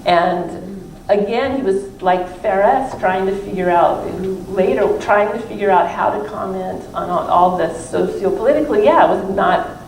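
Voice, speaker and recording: A woman's voice: medium pitch, a little mellow. A woman, a professor, talks informally and discursively about her book during a question-and-answer discussion, often qualifying her own points. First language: not French (non-native French speaker). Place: library event room, recorded from a distance. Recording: roomy, distant mic with faint room noise.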